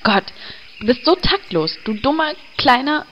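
A woman's voice: high-pitched, big pitch swings, measured pace, dull audio, a little hiss or hum. A woman playing a vain elf turned undead, snippy and offended. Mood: indignant. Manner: indignant, snippy.

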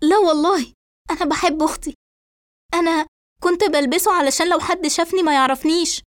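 A woman's voice: extremely high pitch, steady tone, quick pace, voice pushed up. A woman voicing a distraught, trembling young woman in an audio story, pleading and agitated in an Egyptian accent. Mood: trembling, defensive.

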